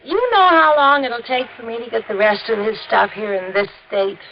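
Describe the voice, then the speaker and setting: A scheming, hard-edged widow in an old radio crime play, acted by a woman. Her voice is high, coy and snappish. The 1950s recording is muffled and plainly noisy. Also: normal speed, close to an everyday voice. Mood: coy, impatient. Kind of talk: 1950s radio drama acting.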